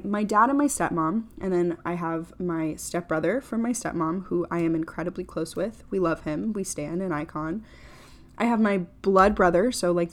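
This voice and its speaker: A woman of twenty hosts her own podcast in a chatty, upbeat manner, full of fillers and self-deprecating asides. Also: on the low side. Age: 20s. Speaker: podcast host. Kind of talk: casual solo podcast monologue.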